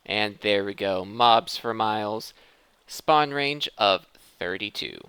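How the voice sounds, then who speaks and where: A man's voice: fairly low pitch, slow, pushed and bright, clear, resonant tone, no room sound. A man hosting a gaming video in casual commentary, thinking aloud and correcting himself as he goes. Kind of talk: casual gameplay commentary of modded Minecraft.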